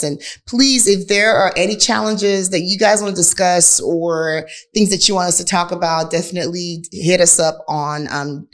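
A woman co-hosting a podcast in casual conversation, with a candid, playful and teasing manner. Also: low-pitched. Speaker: podcast co-host. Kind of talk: casual couples chat.

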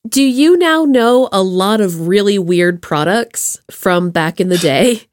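Lively woman podcast host with animated pitch. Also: measured pace, a little hiss or hum. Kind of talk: casual interview chat.

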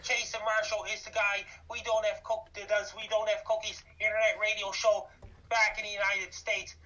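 Flat-voiced Canadian man on a dull phone line. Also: high-pitched, moderate pace, far from the mic, slight background noise. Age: 30s. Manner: repetitive, lists facts and dates. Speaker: guest, YouTuber. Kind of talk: interview answers.

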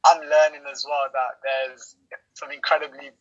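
A man in his twenties answers as a podcast guest in an informal, reflective way. His voice is bright and sharp-edged, with very little movement in pitch. The sound is slightly muffled and lo-fi, like a call. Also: level tone, normal speed. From born in Somalia, grew up in Bristol, England from age nine. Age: 20s. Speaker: podcast guest, aspiring footballer. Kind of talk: casual interview answers.

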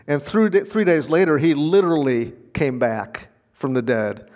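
A man's voice: a little high, expressive, normal speed, a little mellow, long reverb tail. A man preaching a sermon in an earnest, conversational way, with small laughs as he talks. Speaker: pastor.

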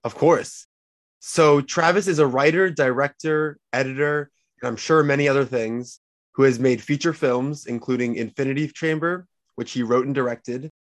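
A man hosts an interview podcast. His voice sits at an average male pitch, and the sound is dry, with hardly any room on it. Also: dry recording. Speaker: podcast host.

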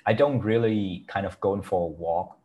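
Vietnamese guy w accent hosting a chill podcast, deep voice, casual english with non-native grammar. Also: normal speed, highs cut off, a crowd in the background. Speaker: podcast host. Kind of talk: casual chat.

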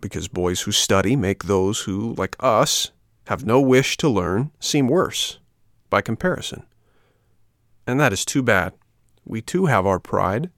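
A man's voice: lowish voice, expressive, unhurried, a bit bright, no echo, clean studio sound. A man voicing mocking rascal schoolboys in a storybook, with a jeering, emphatic and bullying manner. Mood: bossy.

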